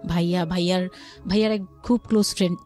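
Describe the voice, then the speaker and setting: A woman's voice: lowish voice, fairly even intonation, fast talker, dark tone. Bangladeshi woman storytelling over music. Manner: quotes relatives' words as she narrates. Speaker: guest telling life story. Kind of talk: personal storytelling in conversation.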